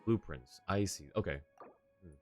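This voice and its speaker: A man's voice: low-pitched, moderate pace, soft background music. A male streamer chatting casually while playing a game, rambling and laughing often. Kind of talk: casual gameplay chat.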